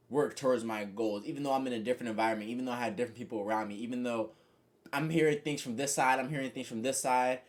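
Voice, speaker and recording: A young man rambles through a laid-back monologue to his viewers, leaning on fillers like "you know" and "like". His voice sits at an ordinary middle pitch and stays fairly flat and monotone as he muses out loud. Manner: says he has a monotone voice. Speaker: vlogger. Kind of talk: casual reflective monologue to camera.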